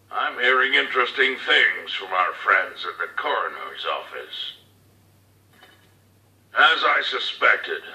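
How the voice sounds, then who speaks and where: Calculating mob boss tycoon for a game, measured n condescending, really echoey, bit off the mic. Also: male voice, medium pitch, sharp tone, exaggerated voice. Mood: intrigued, sinister. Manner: measured, menacing, articulate, condescending. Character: cold, calculating male crime boss tycoon dictating orders. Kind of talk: dramatic video game audio log monologue. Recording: roomy, slightly distant audio log.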